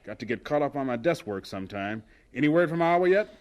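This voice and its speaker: An actor playing an impatient, tireless school principal, terse and commanding, in a radio drama. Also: male voice, medium pitch, animated, quick pace, natural voice. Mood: brisk.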